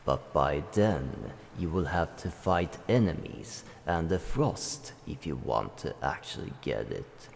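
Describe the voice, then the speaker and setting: A wise old dragon acted out by a man speaks from within a long, echoing reverb with faint noise. The voice is low and gravelly, with grave, formal phrasing and deliberate pauses, like a protective guardian giving counsel in a fantasy game. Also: a little mellow, heavily acted. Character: ancient male dragon, solemn, wise, protective and cautioning. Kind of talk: fantasy game roleplay monologue.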